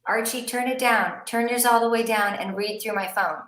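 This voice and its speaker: A woman's voice: on the high side, steady tone, normal speed, fairly bright tone. A woman talking casually and giving practical instructions, recorded echoey and off-mic. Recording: distant, echoey, picked up off-mic.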